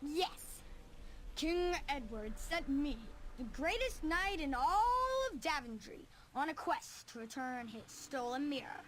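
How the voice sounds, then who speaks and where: A woman playing an eager little granddaughter in an adventure game, speaking in an excited, dramatic, childlike way. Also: high voice, slow, a little hiss or hum, exaggerated voice, low-quality recording. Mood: excited, grandly dramatic. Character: eager little granddaughter who loves dragons and adventure stories. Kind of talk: animated adventure game acting.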